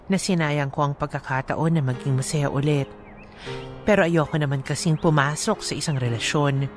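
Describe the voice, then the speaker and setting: A woman's voice: very deep voice, darkish tone. Woman radio co-host over light music. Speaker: radio co-host and letter reader. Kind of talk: letter reading and lively chat.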